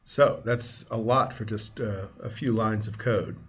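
Low-voiced man, casual tutorial, faint noise. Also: steady tone, a little slow. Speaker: tutorial presenter. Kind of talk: casual screencast tutorial, explaining step by step. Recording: clear background noise, keyboard typing and clicks.

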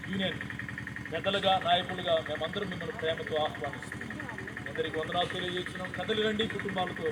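A man's voice: high voice, noisy background. A man from a church leaders' union reading out a formal, devotional invitation, repeating its phrases in churchly language.

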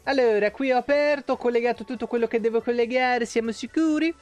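A high-voiced man in his thirties streams from a room at home. He talks casually and rambles, with plenty of swearing. He sits close to the microphone, with faint music behind him. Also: fast talker. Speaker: game streamer. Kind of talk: casual live stream chat. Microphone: close desk microphone on an arm.

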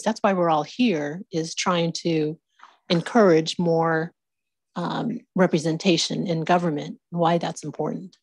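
A woman's voice: on the low side, dry recording. A woman moderating a conference panel, asking questions into a handheld mic on stage. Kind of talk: panel discussion.

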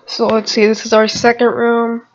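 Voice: mid-range voice, monotone, moderate pace, slight background noise. Dull-sounding young-voiced gamer rambling through gameplay. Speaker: gamer narrating own gameplay video. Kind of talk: casual gameplay commentary. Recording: dull lo-fi home microphone.

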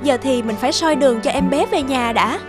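A woman voicing a kind young girl spirit in a cartoon, gentle and reassuring. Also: sits high, fairly quick, lightly acted. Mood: gentle, consoling. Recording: clear music behind the voice.

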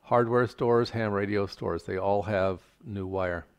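An older man giving a measured, plain-spoken, step-by-step tutorial explanation. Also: fairly low pitch, fairly even intonation, clear, resonant tone, dull audio. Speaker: instructor. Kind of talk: explanatory tutorial narration over slides.

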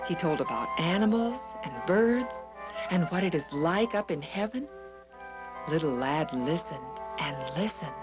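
A woman narrating a children's Bible story in an expressive, deliberate manner. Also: on the low side, low-quality recording.

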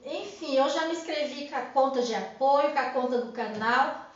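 A woman's voice: high-pitched, steady tone, normal speed. A Brazilian woman in her forties with a Brazilian Portuguese accent, a YouTube host chatting to camera in a direct, repetitive, talkative way from a small room. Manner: chatty, direct, repeats herself, talks straight to her viewers. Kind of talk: casual chat to camera. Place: small indoor room with plants and a monitor. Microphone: camera in front at desk distance. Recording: echoey room, dull sound.

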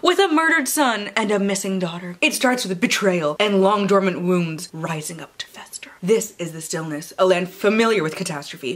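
A woman's voice: medium pitch, fairly bright tone. A young adult woman vlogging about books in a casual, playful way, often interrupting herself and swearing freely. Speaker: book vlogger. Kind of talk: casual vlog chat with dramatic blurb readings. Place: indoor room with bookshelves.

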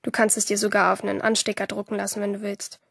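An actress playing a lonely, sardonic teenage schoolgirl who narrates her day in a radio drama, in a breathy voice. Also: medium pitch, monotone, fast talker, a bit bright, no room sound, studio quality. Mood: sullen, sarcastic.

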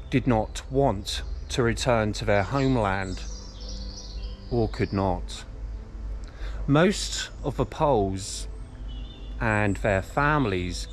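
A man in his forties presenting a history documentary to camera in a measured, deliberate way, pausing between short phrases. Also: mid-range voice, a little hiss or hum. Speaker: host of a history exploration vlog. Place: outdoors among derelict buildings in woodland. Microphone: camera held at arm's length.

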